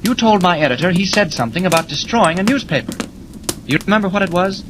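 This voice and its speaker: A brisk, heroic newspaper reporter in a vintage adventure serial. His voice sits a little high and he speaks with urgency, as if thinking aloud. The old 1940s radio recording is plainly noisy. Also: lively intonation. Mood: earnest, pressing. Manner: urgent, self-narrating, stammering when pressed. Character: brisk heroic male reporter who is secretly Superman.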